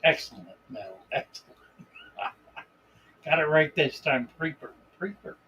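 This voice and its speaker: A man hosting a live stream in a relaxed, unhurried way, greeting viewers by name and reading their comments aloud. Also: fairly high pitch, husky, lo-fi sound. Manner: relaxed, unhurried, chuckles between remarks. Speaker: livestream host.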